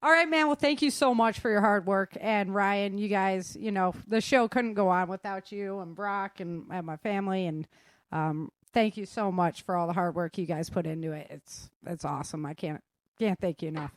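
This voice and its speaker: Female podcast host, mid-pitched, casual interview chat, dry close sound. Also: moderate pace, no echo.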